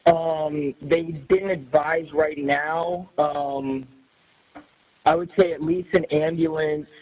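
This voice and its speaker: A man's voice: on the high side, fairly even intonation, slow. A man calling an emergency dispatcher, giving a businesslike but hesitant report over a recorded telephone line. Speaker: caller reporting an emergency, security command post. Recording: noisy, narrow recorded emergency phone line.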